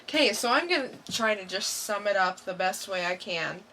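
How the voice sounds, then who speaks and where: A woman's voice: medium pitch, expressive, normal speed, pushed and bright, recorded from a distance, slight background noise, low-quality recording. A woman chatting casually as a podcast co-host. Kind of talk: casual chat.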